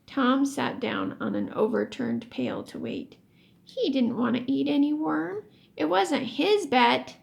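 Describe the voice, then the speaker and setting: A woman reading a children's book aloud expressively, as a teacher would, pausing to explain words. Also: sits high, gravelly. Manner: animated storytelling, pauses to explain words.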